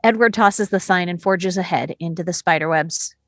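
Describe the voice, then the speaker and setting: A woman's voice: on the low side, normal speed, no echo, clean studio sound. A woman narrator reading screenplay action and scene descriptions at a table read. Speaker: narrator reading stage directions.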